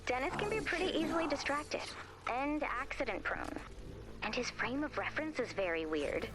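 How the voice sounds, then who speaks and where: A woman's voice: high voice, expressive, normal speed, noisy background. A woman voicing an emphatic, dramatic young misfit heroine in a video game, sarcastic yet vulnerable. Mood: wry.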